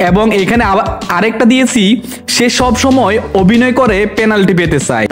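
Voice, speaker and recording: A man presenting a tutorial, narrating each step as he goes along. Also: high-pitched. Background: background music.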